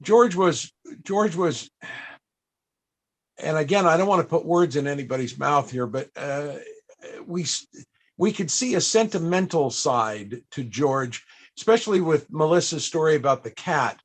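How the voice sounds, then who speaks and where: Higher-pitched husky man hosting an interview. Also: lively intonation, unhurried. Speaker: interviewer, panel host. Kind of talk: casual panel interview.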